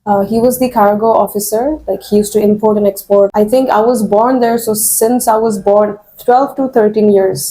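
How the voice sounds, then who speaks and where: A woman's voice: medium pitch, steady tone, quick pace, few pauses, dark tone, poor audio. Composed young woman answering interview questions. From Kuwait. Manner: composed, thoughtful, polite, fluent English with fillers. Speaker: interview candidate. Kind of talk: mock job interview answers.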